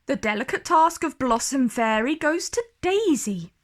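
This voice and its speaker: A woman's voice: high-pitched, animated. A woman voicing a stately storybook fairy godmother, making announcements in a ceremonial way. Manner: slow, loud, ceremonial announcing. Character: stately fairy godmother awarding tasks at school.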